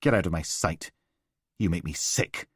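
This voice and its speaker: A drunken, brutal man snarls with a cruel edge and bursts into raging shouts, as a male narrator puts him on. The voice sits low when it simmers and climbs high when it roars. It sounds close and dry. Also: moderate pace, slightly rough, no echo. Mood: contemptuous. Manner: slurring menace rising to bellowing rage.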